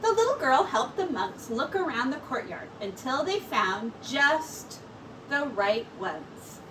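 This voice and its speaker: A woman teacher reading a children's story aloud in an expressive, deliberate storytelling manner. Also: sits high. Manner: expressive, deliberate storytelling with brief asides to listeners. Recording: distant mic with faint background noise.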